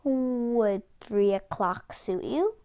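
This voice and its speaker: A woman's voice: on the high side, animated, extremely slow, warm tone, clean tone, exaggerated voice. A woman voicing a clever, cheeky little male pig in a children's storybook, speaking with playful politeness. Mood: breezily polite. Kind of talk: children's storybook read-aloud.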